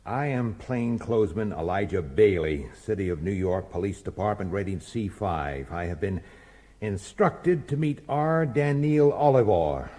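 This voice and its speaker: An actor playing a hard-bitten, resentful police detective who narrates in a gruff, sardonic, irritable way in a radio drama. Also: male voice, fairly low pitch, animated, measured pace, mellow, dry recording. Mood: stiff, puzzled. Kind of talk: radio drama acting with first-person noir narration.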